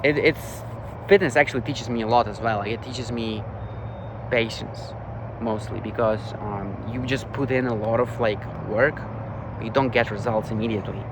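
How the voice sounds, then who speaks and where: Young man, European accent, rambly casual vlog talk, mid pitch, clear traffic noise outdoors. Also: fairly even intonation, moderate pace. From Ukraine. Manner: rambling, many "like" and "you know" fillers. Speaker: vlogger. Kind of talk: casual reflective vlog update.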